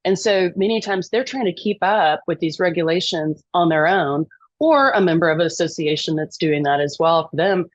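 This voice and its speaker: A woman's voice: fairly low pitch, moderate pace, dry recording, dull audio. A middle-aged woman from East Texas with a Texan accent, talking as a podcast guest, chatty and self-deprecating with frequent ums. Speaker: lobbyist guest. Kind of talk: podcast interview.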